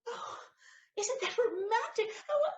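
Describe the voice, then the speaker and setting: A woman playing a romantic, scheming countess in a stage comedy audio play, dramatic and exclamatory with hesitant pauses. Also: very high voice, expressive, full character voice. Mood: excited, romantic. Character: theatrical noblewoman countess, scheming, romantic, betrayed wife.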